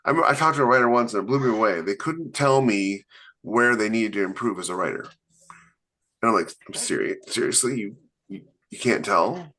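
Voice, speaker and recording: A man's voice: on the low side, normal speed, bright, forceful tone. A man co-hosting a podcast as an author, talking in casual chat and driving his points home by repeating them. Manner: emphatic, repeats points for stress.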